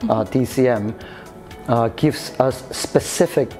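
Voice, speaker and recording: Hesitant man with a mellow voice. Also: mid-range voice, slow, faint music behind. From Hong Kong, raised in the UK. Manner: hesitant, many fillers and restarts. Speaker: interview guest, chef. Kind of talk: casual interview answers. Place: café-style room with sofa, indoors.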